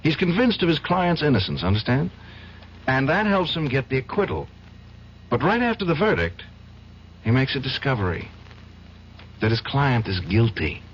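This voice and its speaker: Tense young lawyer, stumbles over words, voice swings all over, old radio play sound. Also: male voice, medium pitch, wildly swooping pitch, moderate pace, pauses often. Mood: earnest. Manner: hesitant, stammering, emotionally charged. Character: anxious young male defense lawyer, troubled and tense. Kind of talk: 1970s radio drama acting. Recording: dull old radio broadcast, faint hiss.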